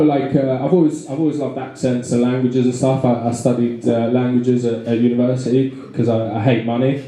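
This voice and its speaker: A young man with a London accent works a pub crowd, rambling through his stand-up with fillers and false starts. His voice is mellow and low in brightness. The room is very echoey. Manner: rambling, self-deprecating, many fillers and restarts. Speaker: stand-up comedian. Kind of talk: stand-up comedy set. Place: small pub comedy room with a live audience. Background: audience laughter.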